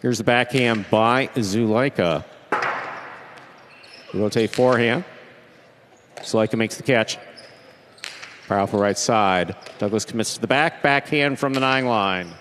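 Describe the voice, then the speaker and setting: A man commentating a match, friendly and quick to laugh, calling plays in short, brisk bursts. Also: lowish voice, a bit bright, slight background noise. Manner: friendly, laughing easily, brisk play calls. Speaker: play-by-play commentator. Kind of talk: sports commentary and banter.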